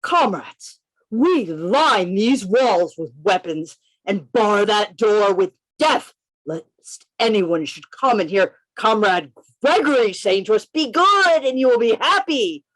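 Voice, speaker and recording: A woman's voice: mid-range voice, animated, a bit bright, dry recording, poor audio. A woman playing a bold, defiant male undercover detective in an amateur radio play reading, with emphatic, declamatory delivery over a video call. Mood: defiant oratory. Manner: declamatory, emphatic, deliberate phrasing.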